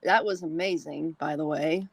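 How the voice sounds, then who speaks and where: A woman's voice: sits low, moderate pace, creaky, breathy edge, no room sound. A woman hosting a conversational interview, building up to her questions in long, wordy sentences. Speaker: podcast host, interviewer.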